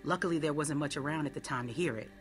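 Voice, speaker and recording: Woman acting very low-voiced, tough survivor. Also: quick pace, soft background music, natural voice. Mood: firm, dismissive. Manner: blunt, dry. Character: tough, sceptical, level-headed adult female survivor. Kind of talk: dramatic video game acting.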